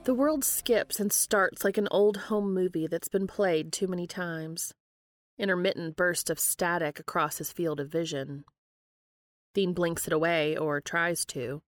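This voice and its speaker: A woman with a slightly low voice reads fan fiction as a podcast host and narrator. The recording is dry and close, with faint music around it. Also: fairly even intonation, a little slow, dry recording.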